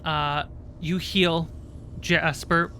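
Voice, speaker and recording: A man acting as game master, improvising narration for a tabletop game in short, halting phrases. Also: a little high, little pitch movement, sharp tone, a little hiss or hum. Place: indoor livestream.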